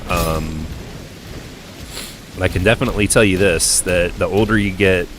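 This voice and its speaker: A middle-aged man, hoarse and croaky after being sick, rambling through laid-back live gameplay commentary. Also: low-pitched, normal speed, a bit bright, slight background noise. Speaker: game streamer. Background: game music.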